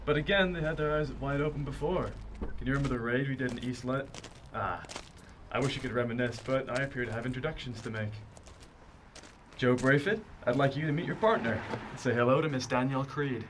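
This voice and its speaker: A man acting in a radio drama as a taunting, persuasive criminal ringleader with a husky voice and theatrical delivery. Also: mid-range voice, fairly quick, a bit bright, slight background noise, natural voice. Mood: nostalgic, needling. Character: smooth-talking, menacing male criminal ringleader, needling and bossy.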